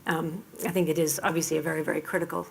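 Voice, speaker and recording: A woman moderating a panel discussion who is losing her voice, measured as she hands questions to the panelists. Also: sits low, level tone, creaky, slight background noise.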